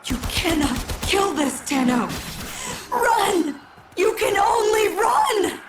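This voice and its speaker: A woman playing a devout, mystical cult guardian in a game, solemn and incantatory with a breathy, creaky voice. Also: very high-pitched, very animated, slow, noisy background, full character voice. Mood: anguished. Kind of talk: dramatic game voice acting. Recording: echoey reverb with prominent music behind.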